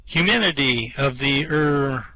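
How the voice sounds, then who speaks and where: Bright, hesitant, nervous Earthman played by a man. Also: mid-range voice, a little slow, no room sound, poor audio. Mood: nervous, ingratiating. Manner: hesitant, stammering, earnest. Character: nervous, floundering Earthman flattering his captor. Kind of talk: amateur radio play acting.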